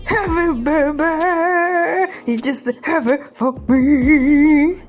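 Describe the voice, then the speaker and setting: A woman with a slightly high voice hosts a casual online commentary. She reads an article aloud very slowly, in a halting, drawn-out way, and adds playful asides. Faint music plays under her voice. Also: muffled recording. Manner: playful, giggly, easily amused by what she reads. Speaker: online video host.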